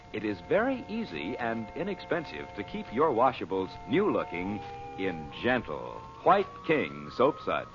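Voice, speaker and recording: A muffled vintage broadcast with music behind a male announcer. His medium-pitched voice moves with wide, animated inflection as he reads a script in 1940s radio style.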